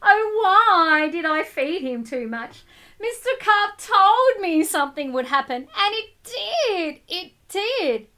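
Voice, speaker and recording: A woman's voice: very high-pitched, very animated, slow pace, sharp tone, pitched above the performer's own voice, exaggerated voice. A woman voicing an anxious young boy in a storybook, with a dramatic, pleading delivery. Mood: distressed. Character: anxious young boy worried about his pet fish.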